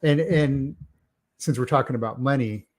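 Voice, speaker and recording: A man with a mid-pitched voice hosts a casual interview. He asks long, rambling questions and keeps affirming the guest with frequent yeahs and okays. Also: normal speed. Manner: affirming, lots of "yeah" and "okay", rambling questions. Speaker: podcast host.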